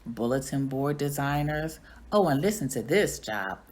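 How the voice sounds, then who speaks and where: A woman playing a small, curious classroom hamster from a children's storybook, earnest, chatty and expressive. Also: extremely low pitch, normal speed, a little hiss or hum. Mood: eager, helpful.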